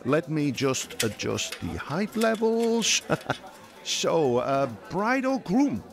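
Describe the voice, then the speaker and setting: An actor playing a polite, fussy wedding photographer who hesitates with fillers. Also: male voice, fairly high pitch, very animated, takes it slowly, creaky, faint music behind, full character voice. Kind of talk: audio drama acting.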